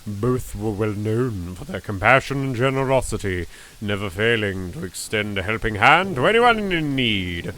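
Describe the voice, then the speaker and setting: A British man narrating a horror game legend as a solemn, ominous storyteller, measured and lingering on phrases. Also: fairly low pitch, animated, pushed and bright. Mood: grave, ominous.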